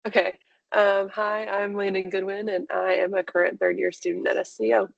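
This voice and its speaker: A dry, slightly dull call recording carries a young woman's voice. She answers conversationally as a student panelist, with noticeable fry in her delivery. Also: medium pitch, level tone, normal speed, no echo. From Kansas City, Missouri, United States. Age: 20s. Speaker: optometry student, webinar guest. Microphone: online video call.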